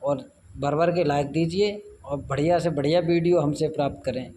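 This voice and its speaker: Male tutorial host, slightly high voice, informal and repetitive step-by-step delivery, faint background noise on an amateur recording. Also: moderate pace, dark tone. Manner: repeats phrases and instructions as he goes.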